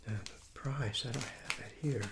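A man in his sixties, hoarse from a cold, reviewing fast food in a soft, half-whispered ASMR style with many restarts, seated at a table indoors. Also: mid-range voice, background noise. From Southeastern United States. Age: 60s. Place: small room at a table, indoors. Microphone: fixed camera mic at close range.

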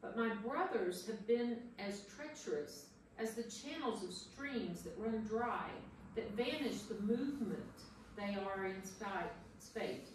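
A woman playing an anguished, despairing sufferer who cries out against God in a solemn dramatic scripture reading. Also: mid-range voice. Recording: echoey room from far off, faint noise.

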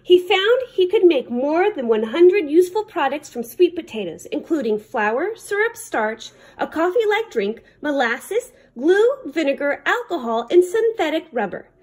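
A woman reading a children's picture book aloud as a librarian, in careful, deliberate short phrases. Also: high-pitched, sing-song, a little mellow.

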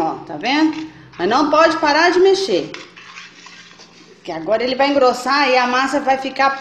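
A woman hosting a cooking video who explains each step and keeps checking in with her viewers. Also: high-pitched. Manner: chatty, checks in with viewers often. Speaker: cooking channel host. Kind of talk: step-by-step recipe demonstration. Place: home kitchen. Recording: echoey kitchen with faint noise.

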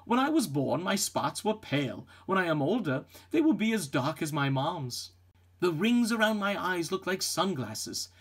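A man reads a children's picture book aloud with lots of expression. His voice is fairly high and husky, with a very animated melody. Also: moderate pace. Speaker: storybook reader.

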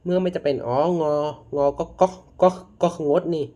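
A man giving casual reaction commentary, halting and often repeating his words. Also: fairly high pitch, highs cut off. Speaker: reaction video host. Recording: loud music behind the voice.

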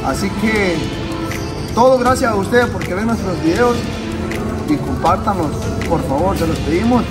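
A man's voice: sits high, lively intonation, normal speed, loud music behind the voice. A young man hosting a casual vlog, joking and talking straight to his viewers from his car and a shopping mall, filmed on a handheld phone. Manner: upbeat, joking, addresses viewers directly. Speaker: vlogger host. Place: car interior, then outdoor shopping mall.

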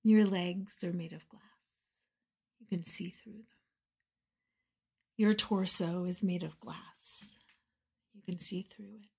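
A woman guides a meditation at a very unhurried pace, leaving space between short phrases. Her voice is soft and breathy with a husky grain, often settling into a gentle creak. The delivery is soothing and measured. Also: on the low side, fairly even intonation. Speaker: meditation guide and podcast host.

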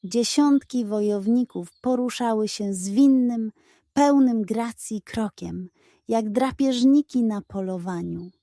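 A woman narrating a scripted children's bedtime story in a measured, dramatic way. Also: a little high, dark tone, no echo, clean studio sound.

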